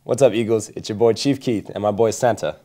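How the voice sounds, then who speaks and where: A teenage boy presents the sports segment of a student news show. He talks quickly in a low, mellow voice and jokes around between results. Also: steady tone. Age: teens. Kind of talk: scripted sports roundup.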